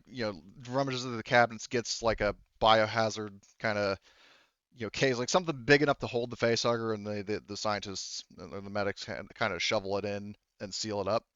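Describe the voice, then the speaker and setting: A man with a fairly low voice is running a tabletop roleplaying game. He improvises his narration in a halting, conversational ramble. The recording is very dry and a little muffled. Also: fairly bright tone, smooth, dry recording. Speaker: game master.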